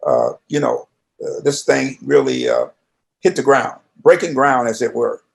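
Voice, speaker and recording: An older man in a casual interview conversation, talking in a halting, rambling, folksy way. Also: mid-range voice, wildly swooping pitch, gravelly.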